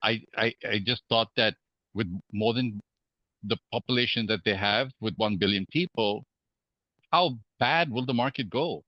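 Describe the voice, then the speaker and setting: A man from Hong Kong, a podcast guest, speaking in a measured way with many fillers and restarts. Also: on the low side, no echo, dull audio. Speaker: guest real estate investor. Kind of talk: podcast interview.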